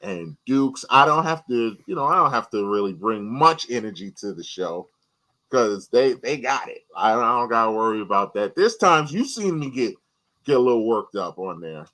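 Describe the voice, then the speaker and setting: A man from New York, a guest on a podcast in casual chat, animated and often repeating words as he talks. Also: medium pitch, moderate pace.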